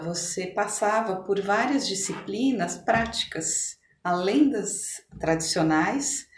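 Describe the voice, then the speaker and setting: Echoey recording of a Brazilian woman in her sixties. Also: medium pitch. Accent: Brazilian Portuguese. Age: 60s. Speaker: podcast guest. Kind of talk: reminiscing in a conversational interview. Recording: echoey room with clear background noise.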